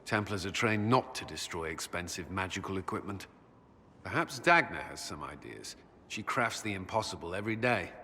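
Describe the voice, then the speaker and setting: An actor playing a stern, troubled military commander and former templar, speaking in a grave, measured, earnest way in a video game. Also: male voice, fairly low pitch, pushed and bright, big-room sound, a little hiss or hum. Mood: resolved, wary. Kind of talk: video game dramatic acting.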